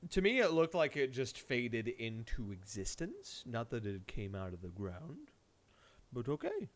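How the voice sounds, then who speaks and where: A man's voice: mid-range voice, huge pitch swings, measured pace. A man doing casual live game-stream commentary, rambling playfully with dry asides. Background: music.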